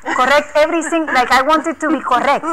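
A woman's voice: sits high, fairly even intonation, fast talker, very noisy. A woman whose first language is not English, speaking earnestly on a panel in a conference room and often restarting her sentences. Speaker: scientist panelist. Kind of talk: panel discussion.

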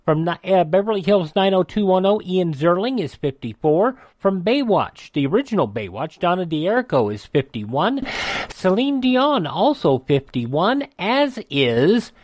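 An American man hosts a radio segment, running casually through dates and names. His pitch moves around a lot as he goes. The sound is close and dry. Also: big pitch swings, a little slow, a little mellow, dry recording. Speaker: radio host. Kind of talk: casual this-day-in-history rundown.

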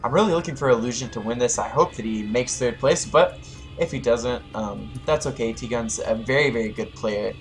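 Male esports caster, slightly hoarse, excitable, faint music under. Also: mid-range voice, normal speed. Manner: rising excitement at big plays. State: losing his voice. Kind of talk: live game commentary.

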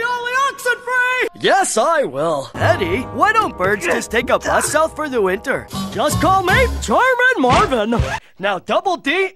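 A man voicing a dim-witted, excitable, childlike cartoon boy with a goofy, dopey voice and exuberant delivery. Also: very high-pitched, exaggerated voice. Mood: wild excitement. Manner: loud, exuberant, childlike exclamations. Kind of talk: animated cartoon voice acting.

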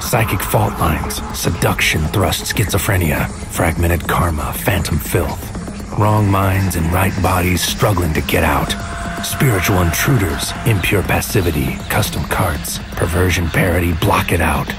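An actor playing a grim, intense psychic soldier who narrates a sci-fi audio drama in clipped, cryptic, incantatory fragments. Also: male voice, low voice, unhurried, loud music behind the voice. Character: male psychic soldier on a mission into another's mind, grim, intense. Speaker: narrator and lead character. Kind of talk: scripted sci-fi audio drama, spoken-word monologue.

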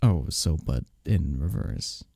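A man playing a sleepy, affectionate, playful husband in bed, speaking softly and teasingly in an intimate ASMR roleplay, close to the mic. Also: rumbling low, lively intonation, gravelly, slightly rough, no echo, heavily acted. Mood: teasing, relaxed. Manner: soft, drowsy, teasing, unhurried. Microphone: close, dry microphone.

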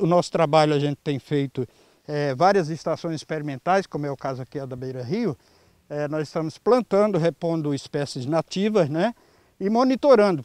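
A Brazilian man in his early sixties answering an interview earnestly and haltingly through a face mask, into a handheld microphone. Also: on the high side, a little mellow, dry recording. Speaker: environmental volunteer leader. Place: outdoors in a grassy clearing. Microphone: handheld reporter microphone.

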